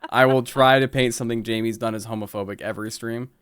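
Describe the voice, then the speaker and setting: A man's voice: mid-range voice, steady tone, fast, a bit bright, clean tone, dry recording, studio quality. A man bantering casually on a live stream in a teasing, joking way. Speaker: stream co-host.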